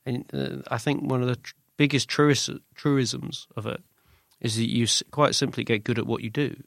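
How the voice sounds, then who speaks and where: British man, slightly gravelly, chatting informally. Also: mid-range voice, steady tone, moderate pace, fairly bright tone, dry recording, clean studio sound. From Britain. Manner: rambling, self-correcting, informal. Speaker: musician guest, singer-songwriter. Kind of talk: casual interview chat.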